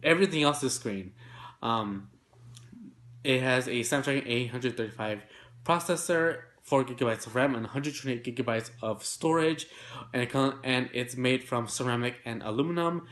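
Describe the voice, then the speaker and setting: A man with a blocked, congested nose reads through technology news stories. He pushes to get through it fast but stays chatty, dropping in fillers and asides as he goes. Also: mid-range voice, fairly bright tone. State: congested, nasal. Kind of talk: remote tech news segment.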